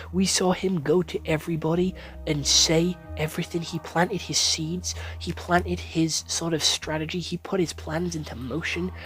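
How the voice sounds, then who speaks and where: A man giving a casual, rambling series review. He swears often, gets excited, and stumbles over words at times when he talks fast. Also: fairly high pitch.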